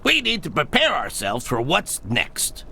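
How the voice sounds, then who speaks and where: An actor voicing a kindly, calm elderly Smurf village leader in a video game, speaking with reassuring authority. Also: male voice, on the high side, unhurried, gravelly, full character voice. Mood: firm, explanatory. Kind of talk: video game cutscene acting.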